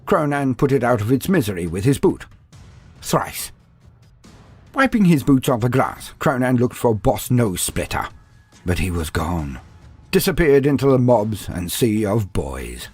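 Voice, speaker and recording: A man's voice: mid-range voice, very animated, measured pace, a little mellow, husky. A man giving a scripted, dramatic narration of fantasy war lore. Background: music.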